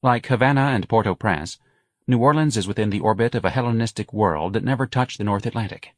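A man narrating a literary audiobook in a measured, articulate and expressive manner. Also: fairly low pitch, dry recording, studio quality.

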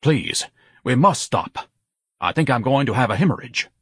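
A man's voice: medium pitch, expressive, moderate pace, slightly rough, no room sound, studio quality, pitched above the performer's own voice. A man voicing a pompous, hypochondriac, very large grown son, grandiose and complaining, in an audiobook. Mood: suffering. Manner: grandiose, over-formal, complaining. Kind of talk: audiobook character voice.

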